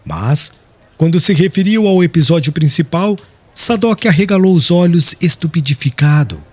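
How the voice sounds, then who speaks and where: A man's voice: fairly high pitch, lively intonation, moderate pace, slightly rough. A man narrating a literary audiobook in a solemn, dramatic storytelling style.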